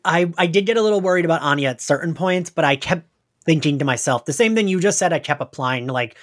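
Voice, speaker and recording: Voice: mid-range voice, expressive, fast talker. A podcast host talking casually and conversationally, peppering sentences with "like". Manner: conversational, heavy use of "like".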